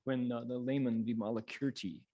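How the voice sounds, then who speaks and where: A man reciting and teaching Buddhist scripture as a monk, in a measured, dramatic storytelling manner with pauses. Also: mid-range voice, level tone, warm tone, lo-fi sound. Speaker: Buddhist monk, sutra lecturer. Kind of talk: sutra recitation and dharma lecture.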